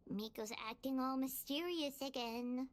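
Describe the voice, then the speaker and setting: A woman voicing a small, childlike, excitable fairy companion in an anime video game, squeaky, blunt and curious. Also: a little slow. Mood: worried, confused. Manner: blunt, curious, speaks of herself in third person.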